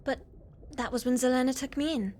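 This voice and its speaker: A woman playing a shy, eager young witch's apprentice in a fantasy ASMR roleplay, soft and hesitant, often slipping toward a whisper. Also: high-pitched, brisk, a little hiss or hum, lightly acted. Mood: friendly, unsure.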